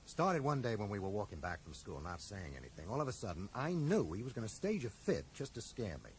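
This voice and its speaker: Radio play role: earnest, level-headed shopkeeper, low voice with wide swings, measured delivery, slight hiss. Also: male voice, big pitch swings. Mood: earnest, reflective. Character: level-headed, earnest male bookshop owner named Richard. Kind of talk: radio drama acting. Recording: mild hiss, 1980s radio drama.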